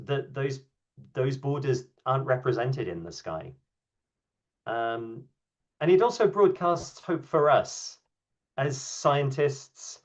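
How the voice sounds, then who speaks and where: A man speaking on a video call as a workshop speaker, answering questions in a relaxed way with many ums and restarts. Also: medium pitch. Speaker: invited speaker at an online workshop. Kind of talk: conversational talk and answers. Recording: dull, lo-fi video call audio.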